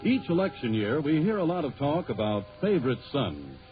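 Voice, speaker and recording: A man's voice: fairly high pitch, wildly swooping pitch, normal speed, soft background music. An American man announcing in scripted 1940s radio narration style. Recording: tinny, noisy 1940 radio recording.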